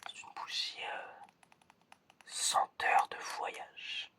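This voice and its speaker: ASMR roleplay examiner: all whisper, bright tone, casual and encouraging, lots of soft word repetition. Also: extremely slow. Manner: whispers throughout, repeating words softly; casual, encouraging, informal phrasing. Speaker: ASMR creator playing an examiner. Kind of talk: ASMR roleplay, testing the listener's senses.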